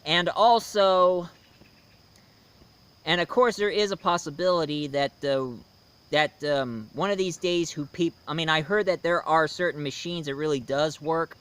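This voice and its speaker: A man's voice: fairly high pitch, a little slow, a bit bright, clean tone. A man giving a casual, rambling film review, speaking emphatically and opinionatedly straight to his viewers. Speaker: reviewer, host.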